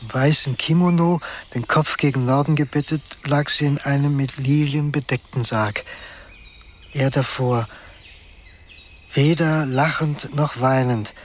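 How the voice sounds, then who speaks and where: An actor playing a sensitive, withdrawn young man, narrating his memories in a reflective, measured way in a literary radio drama. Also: mid-range voice, steady tone, slight background noise, close to an everyday voice. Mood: quiet, melancholic. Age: young adult. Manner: reflective, measured, hushed at times.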